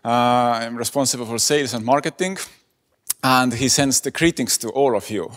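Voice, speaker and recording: Man giving a halting talk. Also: mid-range voice, fairly bright tone. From Estonia. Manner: hesitant, many fillers. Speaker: conference speaker. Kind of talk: lightning talk presentation. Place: conference hall. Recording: echoey conference hall.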